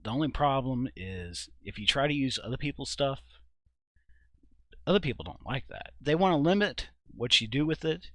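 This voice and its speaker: A man giving an informal software walkthrough at his computer, thinking aloud in a halting, casual way. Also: mid-range voice, no room sound. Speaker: video creator and host. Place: indoors at a computer.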